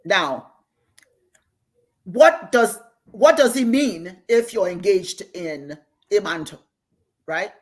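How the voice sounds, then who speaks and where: A woman's voice: medium pitch, animated, slow, pauses often. An older woman, an Igbo speaker, teaching meditation on a livestream in an earnest way and repeating key phrases for emphasis. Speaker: host and spiritual teacher.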